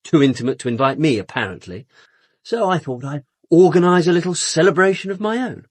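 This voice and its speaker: An actor playing a flippant, louche upper-class British suspect in a radio drama, speaking in a glib, theatrically casual manner. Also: male voice, on the high side, lively intonation, fairly quick, dry recording. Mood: sardonic.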